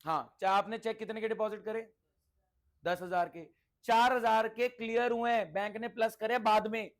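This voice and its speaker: High-pitched male teacher. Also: fairly even intonation, moderate pace. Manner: instructive, repeats points, checks understanding with questions; mixes in English terms. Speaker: accountancy teacher. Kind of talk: interactive classroom teaching.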